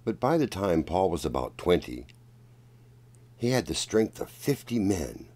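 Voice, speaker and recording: Deep, slow male storybook reader. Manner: deliberate, expressive storytelling. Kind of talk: read-aloud storybook narration.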